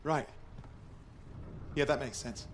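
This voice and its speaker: An actor playing a flippant, needling mercenary with a sarcastic manner in a video game cinematic. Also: male voice, medium pitch, slow pace, a little hiss or hum, lightly acted.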